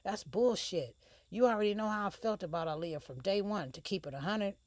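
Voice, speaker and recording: A woman's voice: low voice, normal speed, dry recording, close to an everyday voice. A woman voicing a brash young male rapper who talks in street slang, teasing and blunt. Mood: heated. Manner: slangy, teasing, then heated and defensive. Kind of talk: audiobook character voice.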